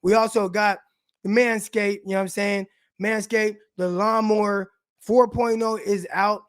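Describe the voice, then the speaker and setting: Sports stream host, male, raspy, slangy and direct, pitched high, close dry mic. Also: little pitch movement, unhurried, dry recording. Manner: loose, slangy, addresses viewers directly. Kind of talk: casual live-stream sports talk.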